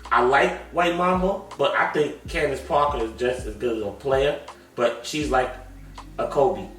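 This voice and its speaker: A man co-hosting a sports podcast, talking in a relaxed, knowledgeable way that often trails off. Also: medium pitch, normal speed. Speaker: podcast co-host. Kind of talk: casual sports talk. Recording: echoey room, far from mic, faint music.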